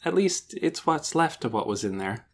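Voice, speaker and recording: A woman voicing an excitable, insistent and earnest young girl in a children's audiobook, eager and emphatic. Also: sits low, voice pushed up. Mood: earnest, explaining. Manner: eager, emphatic, words rushing out. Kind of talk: children's audiobook character dialogue.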